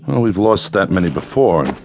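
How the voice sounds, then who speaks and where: A low-voiced army captain in a radio war drama, steady and plain-spoken, music behind him. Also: male voice, a little fast, gravelly, exaggerated voice. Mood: concerned, probing.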